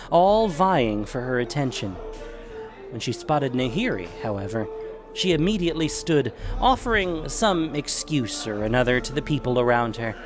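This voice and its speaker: Male narrator for fantasy audio fiction, very lively intonation, deliberate phrasing, music beneath. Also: medium pitch. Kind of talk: dramatic scripted story narration.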